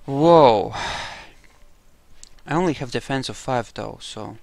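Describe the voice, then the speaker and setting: A man's voice: mid-range voice, slow, creaky, a little mellow, dry recording. A man giving casual gameplay commentary, wry and musing to himself as he plays. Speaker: gamer doing a playthrough.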